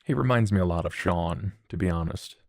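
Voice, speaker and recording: A man voicing a composed, formal male vampire who speaks with measured, polite phrasing. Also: low voice, dry recording, studio quality. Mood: earnest.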